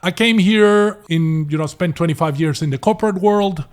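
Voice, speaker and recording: Middle-aged man, Venezuelan accent mixed with American and Australian, slightly raised pitch, chatty interview guest, stumbles and repeats words. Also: moderate pace, clear, resonant tone. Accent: Venezuelan Spanish, mixed with American and Australian. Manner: restarts and repeats words, many fillers. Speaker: guest, property investor. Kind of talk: casual interview storytelling.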